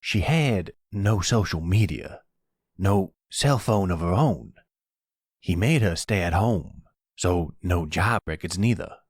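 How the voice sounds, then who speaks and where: A man acts out a gentle older grandfather full of regret. His voice is deep and unhurried, soothing and measured, as in an intimate roleplay audio drama. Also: breathy edge, dry recording, studio quality, heavily acted. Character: remorseful older grandfather, silver fox, tender, soothing.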